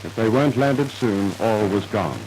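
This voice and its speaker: A man's voice: on the low side, expressive, moderate pace, a little mellow, a little hiss or hum, rough recording. A man narrating a scripted 1950s radio drama in a formal, dramatic storytelling manner, on an old radio recording.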